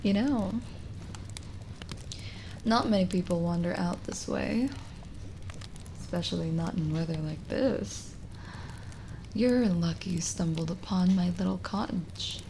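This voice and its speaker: Slow, soothing forest witch, acted by a woman. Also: on the low side, slight background noise. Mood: reassuring. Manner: gentle, coaxing, hesitant pauses, drifting into whispers. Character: lonely, kindly forest witch, soothing and hospitable. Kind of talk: ASMR roleplay audio drama.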